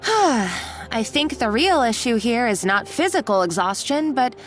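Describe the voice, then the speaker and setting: A woman playing a sympathetic, modest kitchen coworker in a video game, gentle and conversational. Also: a little high, very animated, unhurried, soft background music.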